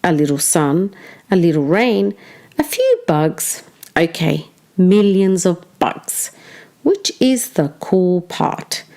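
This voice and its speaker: Woman, children's storybook read-aloud, teacherly, expressive phrasing, animated intonation, unhurried slow pace, mid-range pitch. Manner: expressive, deliberate phrasing for young listeners.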